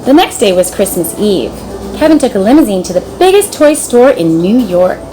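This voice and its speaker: Animated woman reading a children's story. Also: medium pitch, moderate pace, background noise. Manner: dramatic storytelling. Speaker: storybook reader. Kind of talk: expressive scripted children's story narration.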